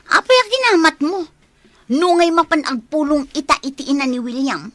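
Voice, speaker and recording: A woman's voice: high-pitched, normal speed, a bit bright, no room sound. A woman with an Ilocano accent playing a whiny, nosy child of a village household in a comedy radio drama, questioning and complaining.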